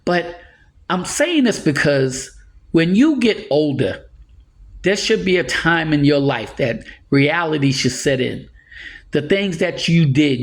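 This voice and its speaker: A man in his sixties giving earnest, rambling life advice in a casual monologue, chuckling now and then. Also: fairly high pitch. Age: 60s. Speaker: motivational speaker.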